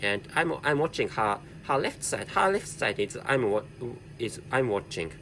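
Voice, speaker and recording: A man whose first language is Japanese, speaking English with a Japanese accent in a halting solo commentary, repeating words and correcting himself. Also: mid-range voice, very animated, bright, forceful tone, slight background noise. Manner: halting, with heavy repetition and self-correction. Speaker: podcast host.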